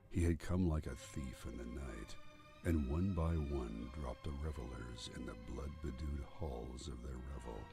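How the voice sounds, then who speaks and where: A man narrating a gothic horror story in a solemn, theatrical, deliberate way, as a voice-over narrator. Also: very deep voice, vocal fry. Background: music.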